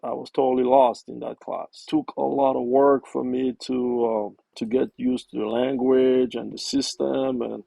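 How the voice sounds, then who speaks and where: Gravelly, mellow-voiced man from Cameroon. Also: medium pitch, measured pace, few pauses, no echo. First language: French. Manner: relaxed, frequent fillers and restarts. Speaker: guest. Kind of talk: casual interview conversation.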